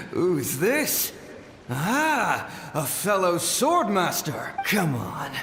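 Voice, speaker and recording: An actor voicing a battle-hungry, gleeful swordmaster spirit in a video game. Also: male voice, a little high, heavily acted. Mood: menacing amusement. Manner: slow, savoring, gleeful. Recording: echoey hall reverb, some noise.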